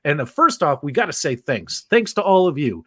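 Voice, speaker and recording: An energetic man hosting a live podcast, his voice jumping up and down in pitch as he talks up the show. He sits a little higher than most men, and the sound is dry and close. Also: moderate pace, no echo. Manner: energetic, upbeat, hypes the audience. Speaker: host.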